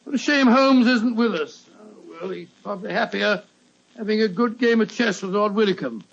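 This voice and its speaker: A man's voice: high voice, normal speed, creaky. A man playing a genial, fussy English doctor in Santa costume in an old-time radio detective drama, hearty and blustering. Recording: dull old radio recording.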